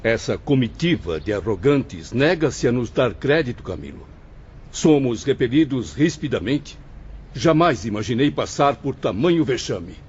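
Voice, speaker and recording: A man plays a grave, doubtful male spirit in an audiobook drama. His mid-pitched voice is dark and mellow, and his diction is measured and literary. The sound is slightly dull. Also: very animated. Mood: dismayed. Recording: dull audio with faint background noise.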